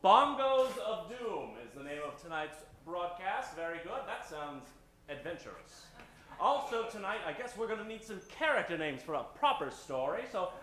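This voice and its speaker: Male improv emcee, bright forceful voice, theatrical delivery, roomy stage sound. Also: sits high, big pitch swings, normal speed. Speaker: improv show emcee taking audience suggestions. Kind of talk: live stage improv hosting. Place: stage before a live audience. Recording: roomy live stage recording.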